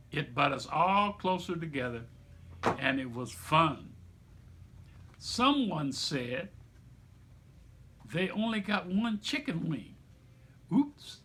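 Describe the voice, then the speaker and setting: A man reading aloud to children like a teacher, with deliberate, emphatic phrasing and explanatory asides. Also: fairly high pitch, pushed and bright, husky. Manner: deliberate, emphatic phrasing with repetition for young listeners.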